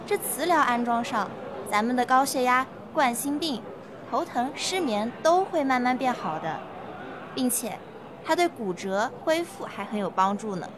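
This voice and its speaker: A woman's voice: a little high, lightly acted. A woman playing an ingratiating, persuasive health-product saleswoman in a radio play. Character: smooth-talking, pushy female health-product saleswoman pitching magnetic mattresses. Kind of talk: amateur legal-education radio play.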